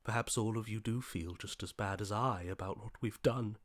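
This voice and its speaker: An actor voicing a grandiose, lovesick romantic hero in a video game, with florid, theatrical, archaic declamation. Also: male voice, fairly low pitch, moderate pace, dry recording, clean studio sound, natural voice. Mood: guilty brooding.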